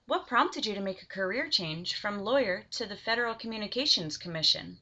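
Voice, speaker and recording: Bright-voiced woman interviewer, lo-fi recording. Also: medium pitch, animated, a little fast. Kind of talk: scripted formal interview.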